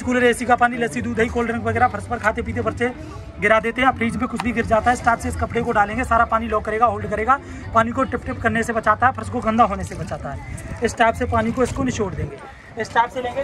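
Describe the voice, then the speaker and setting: A young man giving a rehearsed sales demonstration at a market stall, listing uses in repetitive patter. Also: extremely high pitch. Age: young adult. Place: open-sided tented fair stall outdoors. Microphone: handheld camera a step or two away. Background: music.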